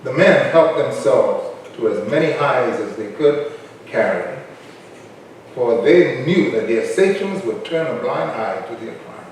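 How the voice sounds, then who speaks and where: A man's voice: mid-range voice, expressive, a little slow, lightly acted. A man playing a solemn narrator of a colonial historical drama in a staged reading, recorded at a distance in an echoey room. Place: live stage in a reverberant room. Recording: very echoey, distant, faint noise.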